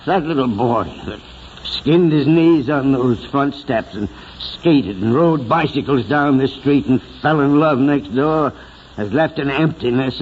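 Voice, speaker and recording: An actor playing an elderly, sentimental small-town mayor with a solemn, oratorical manner, in 1940s radio drama style. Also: male voice, medium pitch, close to an everyday voice. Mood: grieving, tender. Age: older. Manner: oratorical, solemn, drawn-out phrasing. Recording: muffled, hissy 1940s radio recording.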